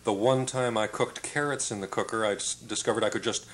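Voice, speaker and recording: An older man hosting a studio interview who speaks slowly and encouragingly and sums up his guests' points. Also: lowish voice, fairly even intonation, a bit bright, a little hiss or hum. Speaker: TV interviewer, host. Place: TV studio set, indoors.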